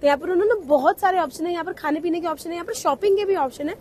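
A woman in her thirties records a casual travel vlog outdoors, holding the camera at arm's length. Her voice sits high for a woman, and she chats easily to viewers, dropping English phrases into her sentences. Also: racing, darkish tone. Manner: chatty, mixes English phrases into Hindi. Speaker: travel vlogger. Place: outdoors at a mountain cable car station. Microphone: handheld camera at arm's length.

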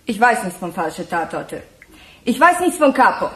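A woman's voice: medium pitch, sing-song, normal speed, natural voice. An actress playing a curt, guarded immigrant woman with a foreign accent, speaking terse broken German in a radio crime play. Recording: roomy echo with faint noise.

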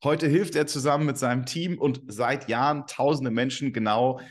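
A man's voice: mid-range voice, fairly even intonation, normal speed. A man in his thirties hosting a podcast over a video call, upbeat and enthusiastic as he introduces a guest and asks questions. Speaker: podcast host. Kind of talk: scripted intro, then interview questions. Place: room with large windows, indoors.

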